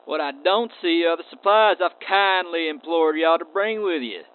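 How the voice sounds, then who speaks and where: A man's voice: a little high, expressive, moderate pace, pitched above the performer's own voice, lightly acted. A man voicing a gloating outlaw villain in a space western radio play, with a mock-polite, folksy drawl. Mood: gloating, taunting. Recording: tinny band-limited audio with clear noise.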